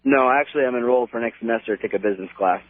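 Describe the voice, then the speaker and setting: A man's voice: mid-range voice, racing, dry recording. A man in his early twenties with an American accent, chatting casually as a caller on a radio show. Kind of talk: casual phone-in chat. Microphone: telephone. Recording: tinny phone line on radio.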